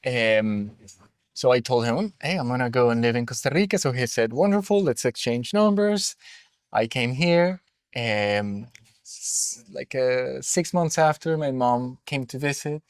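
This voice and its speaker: A middle-aged Colombian man speaking English as a second language, his accent plain as he reflects on the path that led him to run a school. His voice sits at an ordinary pitch and sounds close and dry, with no room around it. He talks in a thoughtful, storytelling way, pausing often with ums. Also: animated, smooth, no room sound. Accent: Colombian Spanish. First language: Spanish. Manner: reflective storytelling with many fillers. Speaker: school director, interview guest. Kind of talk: conversational interview.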